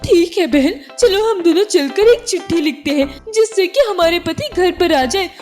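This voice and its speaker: A woman's voice: very high-pitched, expressive, normal speed, a little mellow, soft background music, voice pushed up. A woman voicing a caring, fretful wife bird in a cartoon story, earnest and concerned as she talks to a friend.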